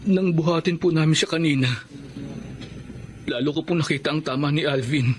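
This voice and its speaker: A man's voice: fairly high pitch, normal speed, slight background noise, close to an everyday voice. An actor with a Filipino accent playing a tender, respectful young man in a radio drama. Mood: sorrowful. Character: tender, respectful young man, long-lost older brother. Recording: dramatic music behind the voice.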